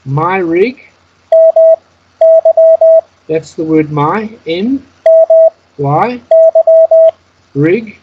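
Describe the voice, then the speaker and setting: A man's voice: a little high, expressive, drawn-out words, pauses often. A man giving step-by-step spoken instruction as a teacher, announcing each item word by word over an internet call. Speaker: Morse code practice instructor. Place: Skype call. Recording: dull lo-fi internet call, clear noise.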